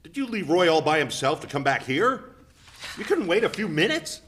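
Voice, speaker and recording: A man's voice: on the high side, very animated, moderate pace, a bit bright, slight background noise. A man playing an irritated, reproachful husband and busy father in a dramatic play reading.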